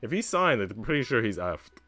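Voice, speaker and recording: A man's voice: mid-range voice, very animated, a little fast, sharp tone. A man streaming in a casual chat style, talking in a slangy, informal way. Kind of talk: casual stream chat and read-aloud of a visual novel.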